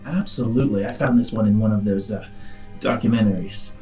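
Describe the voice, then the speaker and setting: A man's voice: lowish voice, a little fast, mellow, soft background music, natural voice. A man voicing a playful, proud hologram AI who talks in a courtly, theatrical way and savors words in a tabletop game. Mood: pleased, chatty. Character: Paige, a playful, proud, newly independent hologram AI.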